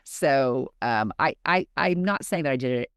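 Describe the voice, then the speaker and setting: A woman's voice: very deep voice, lively intonation, measured pace, fairly bright tone, clear, resonant tone, no room sound, studio quality. A woman and mother co-hosting a podcast in casual chat, frank and blunt, laughing easily. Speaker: podcast co-host.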